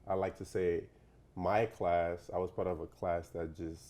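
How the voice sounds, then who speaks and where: A young man from Nigeria, a guest in a casual interview, talking in a relaxed, rambling way with lots of fillers and "you know". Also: deep voice, unhurried. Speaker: professional basketball player, guest.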